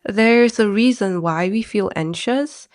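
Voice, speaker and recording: A woman's voice: medium pitch, takes it slowly. A woman from Malaysia, a counselor being interviewed, talking in a chatty way and leaning on "like" often. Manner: chatty, leans on "like" as a filler, relaxed non-native-sounding grammar. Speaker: guest, mental health counselor. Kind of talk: casual interview talk.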